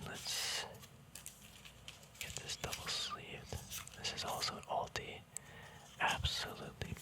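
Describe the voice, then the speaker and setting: A man whispers throughout, with no real voice behind the breath. He chats in a relaxed, informal ASMR manner, rambling softly as he handles trading cards. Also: poor audio. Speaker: ASMR card game vlogger.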